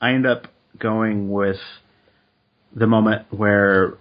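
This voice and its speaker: A man co-hosting a casual chat podcast, speaking in a measured, reflective way with frequent hedges. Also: lowish voice, steady tone. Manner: measured, reflective, hedging with uh and I think. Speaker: podcast co-host. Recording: dull lo-fi podcast audio.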